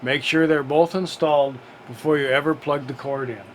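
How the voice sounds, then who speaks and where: A man giving a casual how-to narration in a halting, step-by-step way, as an unboxing video presenter. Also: a little high, fairly even intonation, a little hiss or hum.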